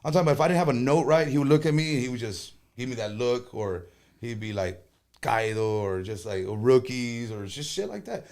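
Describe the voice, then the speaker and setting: A man speaking, a conjunto band leader chatting casually as a guest, animated and joking, with quick bursts. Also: medium pitch. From Texas. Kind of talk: casual interview chat.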